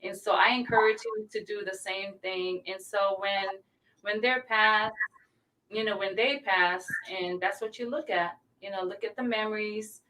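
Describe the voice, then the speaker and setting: A woman from Samoa chats as an interview guest and tells her stories with lots of laughter. Her voice sits back from the microphone, and steady background noise runs under it. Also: medium pitch, normal speed. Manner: warm, laughing, expressive storyteller. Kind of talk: casual interview chat. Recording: distant mic with background noise.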